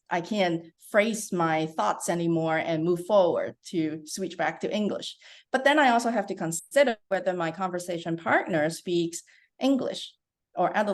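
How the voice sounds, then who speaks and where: A middle-aged woman researcher answering interview questions in a measured, thoughtful way, with frequent pauses and fillers. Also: on the low side.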